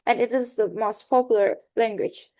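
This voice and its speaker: A woman's voice: a little high, fairly even intonation, normal speed, warm tone. A young woman from Turkey with a Turkish accent, giving a class presentation in a hesitant way, with fillers and self-corrections. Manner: hesitant, many fillers and restarts. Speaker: student presenter.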